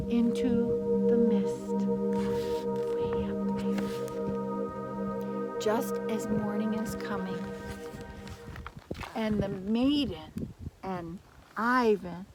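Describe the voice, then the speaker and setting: An older woman reading a children's story dramatically, with emphatic drawn-out words and chatty asides to her listeners. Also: sits high, poor audio. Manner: theatrical, emphatic, drawn-out adjectives. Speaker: teacher reading stories to children.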